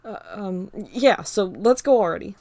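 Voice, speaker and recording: A woman's voice: medium pitch, measured pace, darkish tone, no echo, lightly acted. Woman reader as a bossy, snappish young man, dry close sound. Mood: flustered, shouting. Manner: snappish, commanding.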